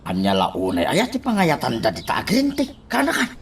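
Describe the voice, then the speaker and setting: A man playing a grumbling, excitable boss in an Ilocano radio drama. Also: medium pitch, normal speed, creaky, slight background noise. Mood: grumbling, protesting.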